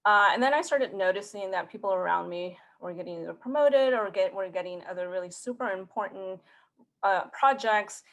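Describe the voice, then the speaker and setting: A woman from Mexico whose first language is Spanish, giving a conference career talk in an earnest, halting way with many fillers and restarts. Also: medium pitch, fairly bright tone. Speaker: conference speaker.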